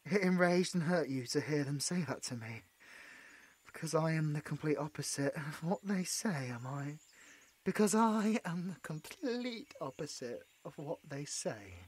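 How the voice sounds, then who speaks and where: A woman playing an anguished, guilt-ridden young British male adventurer in an audio roleplay, halting and emotional. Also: deep voice, animated, darkish tone, breathy edge, exaggerated voice. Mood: guilty, resigned. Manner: halting, emotional, breaking into bitter laughs.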